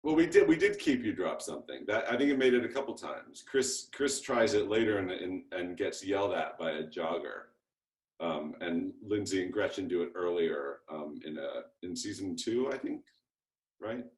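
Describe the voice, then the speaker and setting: A man's voice: deep voice, lively intonation, normal speed, darkish tone. A man telling anecdotes casually on a video call, self-deprecating and casually profane. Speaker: panelist in a TV show reunion. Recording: roomy, echoey video call audio.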